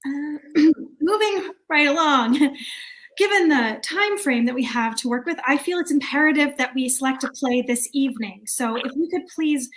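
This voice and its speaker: A woman's voice: sits high, expressive, moderate pace, fairly bright tone, low-quality recording. A woman acting a prim, officious committee chairwoman with a formal, fussy manner, in an amateur play reading. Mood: flustered, exasperated.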